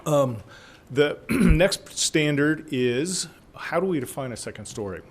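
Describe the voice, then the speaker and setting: A hoarse man with a cold, presenting zoning changes at a city council meeting in a halting way, with many fillers and restarts. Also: on the high side, expressive. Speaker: city planning staff presenter. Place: council chamber.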